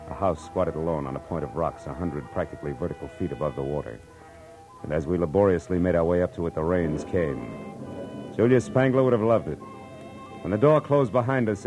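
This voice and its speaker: A man playing a wry, hard-boiled private detective in a 1940s radio drama, narrating in a sardonic deadpan. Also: low voice, low-quality recording. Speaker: lead and narrator. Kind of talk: 1940s radio acting, first-person narration. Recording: vintage 1940s radio recording.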